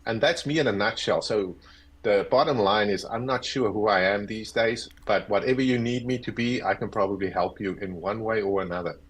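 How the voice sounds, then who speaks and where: Easygoing South African man, fifties, lowish husky voice, self-deprecating guest on earbuds. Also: a little fast. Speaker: guest, agile coach. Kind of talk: casual interview chat. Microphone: earbuds on a video call.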